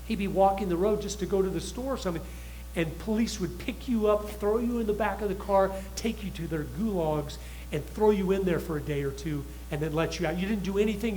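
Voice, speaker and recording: Guest man, fairly high voice, urgent and emphatic delivery, faint hum behind. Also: darkish tone, low-quality recording. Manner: urgent, emphatic, with restarts and retold quotes. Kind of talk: impassioned talk show monologue.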